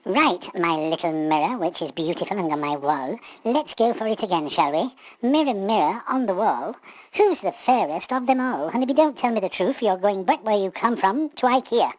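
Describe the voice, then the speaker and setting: A woman voicing a vain, bossy pantomime witch in a drawn-out, sing-song, coaxing and commanding way. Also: medium pitch, lively intonation, close to an everyday voice, rough recording. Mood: bossy, threatening.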